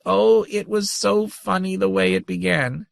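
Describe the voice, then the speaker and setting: A man's voice: high voice, unhurried, gravelly, dry recording, studio quality, pitched above the performer's own voice, heavily acted. A man voicing a tiny, ancient, giggling female creature who teases and chitters playfully. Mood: teasing. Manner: tinkling, chittering, teasing.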